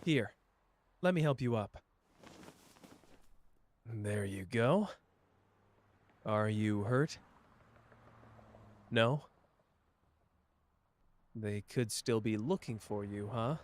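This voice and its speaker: A man doing a slow, flirtatious charmer. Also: on the low side, animated.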